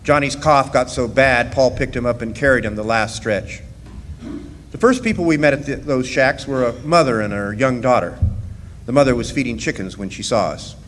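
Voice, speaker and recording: Echoey hall actor as male storyteller, measured. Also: mid-range voice, animated, a bit bright, close to an everyday voice. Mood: recollecting. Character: man recalling his part in a miners' deportation. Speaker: narrator. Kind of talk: scripted radio drama narration. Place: live performance in a large hall. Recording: echoey hall, background noise.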